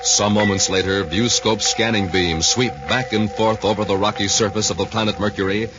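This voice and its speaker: An actor as a formal, dramatic announcer, reading scripted narration for a 1950s radio adventure serial. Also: male voice, fairly low pitch, steady tone, moderate pace, over loud music, natural voice. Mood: dramatic, expository. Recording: noisy, muffled 1950s radio recording.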